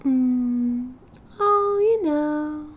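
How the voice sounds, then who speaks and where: A woman's voice: high voice, animated, extremely slow, exaggerated voice. A British woman voicing a cheerful, possessive young yandere kidnapper in an ASMR roleplay, with a cutesy, doting manner. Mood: breezy, doting. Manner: giggly, cutesy, doting, breezy. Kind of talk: ASMR roleplay, intimate one-sided conversation. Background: car driving sounds.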